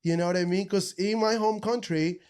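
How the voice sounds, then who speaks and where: High-voiced man from Ecuador, animated. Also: a little fast, clean tone. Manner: animated storyteller, says bro and dude a lot. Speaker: podcast guest. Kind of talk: casual podcast conversation.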